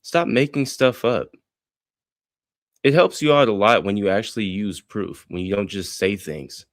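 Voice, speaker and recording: A man's voice: lowish voice, measured pace, no room sound, clean studio sound. An older man streaming, mocking another streamer with sarcastic, profane commentary.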